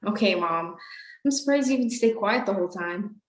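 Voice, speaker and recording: A woman's voice: mid-range voice, animated, fairly quick, lightly acted. A young woman acting an earnest, exasperated college student in a student stage play. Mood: weary, softened. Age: young adult. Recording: echoey stage room.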